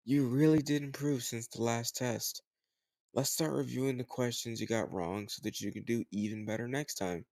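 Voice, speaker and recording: A man playing a teasing, slightly older home tutor who gently chides the listener in a conversational, intimate roleplay audio drama. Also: medium pitch, fairly even intonation, normal speed, no room sound, natural voice. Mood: playful, sly.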